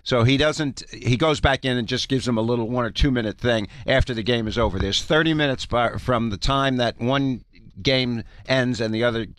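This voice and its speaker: A man's voice: mid-range voice, moderate pace, a bit bright, dry recording. A man giving conversational basketball analysis on sports radio, explaining like a coach.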